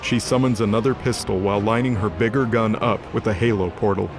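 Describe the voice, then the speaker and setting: Low-voiced man narrating over music. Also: steady tone, a little fast. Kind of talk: scripted dramatic fantasy narration. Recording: loud music under the voice.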